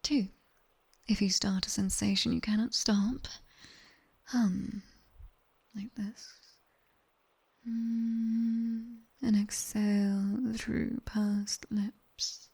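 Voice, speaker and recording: A British woman playing a strict, teasing, seductive demoness tutor in an intimate ASMR roleplay, with breathy whispers and a condescending yet coaxing delivery, close on a binaural mic. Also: medium pitch, level tone, extremely slow, long pauses, darkish tone, full character voice. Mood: coaxing, instructive. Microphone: close binaural microphone.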